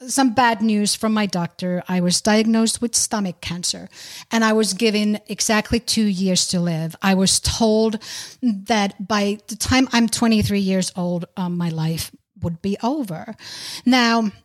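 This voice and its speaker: A woman's voice: mid-range voice, unhurried. A middle-aged woman from Finland, hosting a podcast and telling a personal story. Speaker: podcast host.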